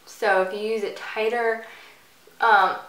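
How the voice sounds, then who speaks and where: A woman's voice: medium pitch, flat delivery, takes it slowly, far from the mic. A woman giving a casual, chatty step-by-step hairstyling tutorial as she demonstrates. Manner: chatty, informal. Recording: bright roomy echo, off the mic.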